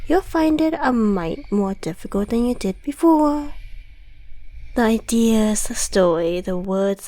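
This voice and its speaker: A woman's voice: a little high, dark tone, rough recording. A woman playing an ancient devil in a scripted ASMR roleplay, theatrical, articulate and condescending, mockingly friendly with menace underneath. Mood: cold, gloating. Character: suave, gloating devil, centuries old, mockingly friendly, menacing.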